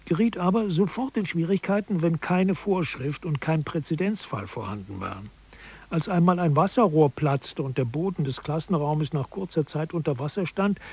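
A man reading scripted narration for a satirical radio feature in a measured, matter-of-fact manner. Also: on the high side, darkish tone, slightly rough.

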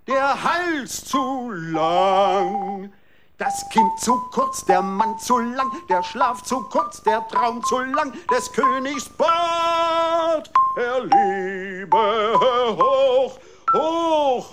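An actor playing a fawning, theatrical court poet in a children's radio play, declaiming flattery in drawn-out phrases. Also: male voice, extremely high pitch, loud music behind the voice, heavily acted. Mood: exultant declaiming.

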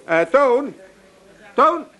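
Radio comedy: wily old Amsterdam dad, high-pitched, forever wheeling and dealing with feigned innocence. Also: male voice, big pitch swings, very slow, sharp tone, exaggerated voice. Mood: chipper, sly. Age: older.

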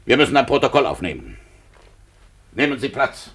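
An actor playing a middle-aged, grumbling, jealous small-town Russian police chief in a 1950s German radio play, gruff and officious with a husky voice. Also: male voice, mid-range voice, very animated, moderate pace, fairly bright tone, slight background noise. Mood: officious, bossy. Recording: 1950s radio drama recording.

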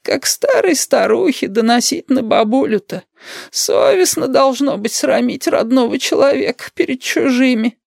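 A woman voicing a querulous, manipulative old grandmother in an audiobook, with plaintive, drawn-out wailing. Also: high voice, lively intonation, gravelly, a little mellow, no room sound, studio quality, voice pushed up, exaggerated voice. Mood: reproachful, martyred. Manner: plaintive drawn-out shrieking and moaning. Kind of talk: dramatized audiobook reading.

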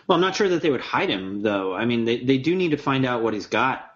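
Man, podcast co-host, casual and opinionated, mid-pitched voice, dull lo-fi audio. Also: expressive, fairly quick, fairly bright tone. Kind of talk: casual sports chat.

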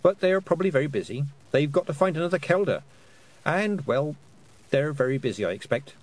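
A man plays a stern, sensible young girl from a storybook. The pitch is lifted a little, and the delivery is clipped, firm and parental. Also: lively intonation, fairly quick, low-quality recording. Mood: evasive.

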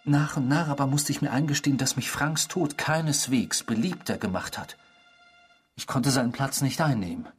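A man's voice: medium pitch, normal speed, husky, soft background music. An actor in a German radio drama playing an intrusive, eerie man who philosophizes and confesses with pompous, formal politeness. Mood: confessional, eerie.

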